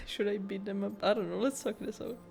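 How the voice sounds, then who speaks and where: A woman's voice: mid-range voice, steady tone, a little fast, creaky. A woman giving casual, informal commentary as she plays a video game, musing aloud. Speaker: gamer, first-time video creator. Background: faint game music.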